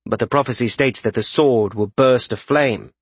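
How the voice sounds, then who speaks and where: A man's voice: mid-range voice, moderate pace, breathy edge, no room sound, lightly acted. A man voicing a cool, calculating warrior negotiator in a fantasy audiobook, formal and controlled. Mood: cautious, questioning.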